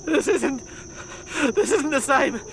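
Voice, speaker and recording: A man's voice: very high-pitched, lively intonation, slow pace, noisy background, heavily acted. A man playing a guilt-ridden grave robber who narrates his doom in a brooding, theatrical way, in a gothic horror audio drama. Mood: horrified, panicked.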